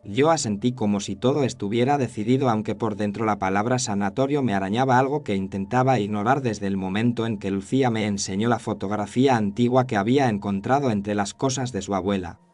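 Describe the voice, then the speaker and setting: A man performs a haunted cameraman telling his own horror tale. His voice sits fairly low, and he narrates in a solemn, measured way heavy with regret. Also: darkish tone, natural voice. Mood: solemn, regretful. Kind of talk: first-person scripted horror narration.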